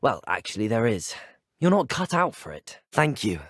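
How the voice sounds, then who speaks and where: Lively, polite young British student wizard. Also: male voice, mid-range voice, normal speed, no echo, studio quality. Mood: polite, curious. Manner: polite, well-spoken, earnest. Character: polite, plucky teenage male student wizard, eager adventurer. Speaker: video game player character voice. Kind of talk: scripted game voice lines.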